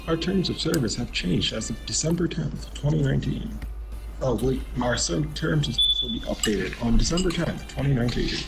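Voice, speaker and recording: A man's voice: mid-range voice, lively intonation, brisk, creaky, poor audio. A man reacting casually to a livestream, muttering rambling asides. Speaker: reaction streamer. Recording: double audio, loud music over voice. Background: loud showcase stream audio and music.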